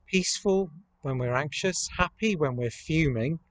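A man, preaching a measured, earnest, reflective sermon to camera as a priest. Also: a little high, animated. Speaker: priest leading online worship. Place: outdoors in a rural churchyard. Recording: outdoor camera recording with ambient noise.

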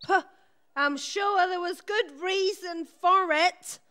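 A woman's voice: extremely high pitch, slow, bright, forceful tone, dry recording, studio quality, full character voice. A woman playing a fretful, chiding older wife in a radio play, anxious and exclamatory. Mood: dismissive, chiding.

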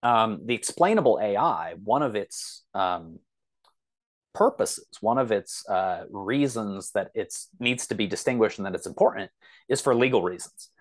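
A man's voice: fairly low pitch, animated, normal speed. A man talking as a podcast guest, explaining things casually and thinking aloud as he searches for words.

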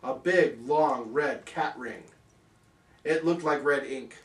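A man reading a rhyming parody bedtime story aloud in a theatrical, measured way. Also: mid-range voice. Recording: roomy, somewhat distant microphone.

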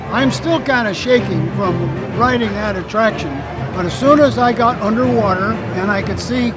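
Excited eighty-something man, high voice. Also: moderate pace, low-quality recording. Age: 80s. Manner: excited, animated storytelling. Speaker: interviewed ride designer. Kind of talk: casual interview. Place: outdoors at a theme park. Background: music and crowd.

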